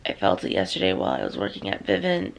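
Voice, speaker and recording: A young woman, a student teacher, chatting casually in a vlog, rambling with lots of "um" and "like", her voice slightly hoarse as she loses it. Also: rumbling low, wildly swooping pitch, quick pace. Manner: rambling, full of "um" and "like".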